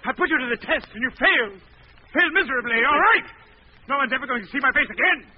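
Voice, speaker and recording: Casting: tortured hermit hiding in darkness, theatrical and highly animated, muffled vintage radio. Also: male voice, fast talker, slightly rough, heavily acted. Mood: bitter rage. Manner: brooding, anguished, theatrical. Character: tormented, bitter disfigured male recluse hiding in darkness. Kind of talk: 1940s radio melodrama acting. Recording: dull, noisy old radio recording.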